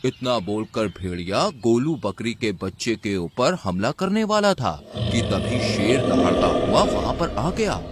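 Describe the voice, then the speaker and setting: A man's voice: mid-range voice, big pitch swings, a little slow, few pauses, heavy background noise. A man narrating a scripted children's story as the storyteller.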